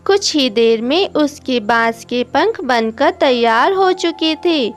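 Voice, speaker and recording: Female storyteller for children's cartoons: high voice, measured slow reading, light music bed. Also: animated. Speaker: narrator. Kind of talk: scripted children's story narration. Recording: music behind the voice.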